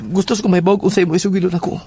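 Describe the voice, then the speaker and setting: A man's voice: high voice, flat delivery, very fast, mellow, slight background noise, natural voice. A man playing a serious, insistent schoolboy in an audio drama. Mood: earnest, insistent. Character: serious schoolboy eager to uncover family secrets. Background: music.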